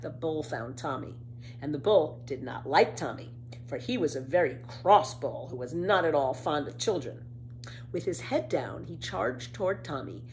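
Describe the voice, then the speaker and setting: A woman reading a children's story aloud with dramatic, emphatic, expressive narration. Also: lowish voice, poor audio. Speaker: storybook reader. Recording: faint background noise.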